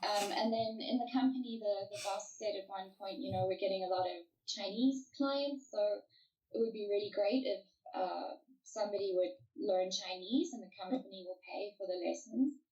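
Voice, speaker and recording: A woman in her thirties from South Africa, an author chatting casually as an interview guest, relaxed with fillers and restarts. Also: medium pitch, moderate pace, dull audio.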